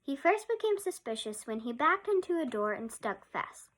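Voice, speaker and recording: A woman storyteller reading a picture book aloud to young children, expressively and with drawn-out emphasis. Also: high-pitched, sing-song, a bit bright, a little hiss or hum.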